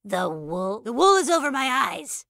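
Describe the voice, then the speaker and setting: Woman putting on a loud, indignant advisor woman. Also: fairly high pitch, very animated, measured pace, vocal fry, dry recording, studio quality, exaggerated voice. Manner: indignant, emphatic. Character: exasperated, literal-minded woman advisor, loudly indignant.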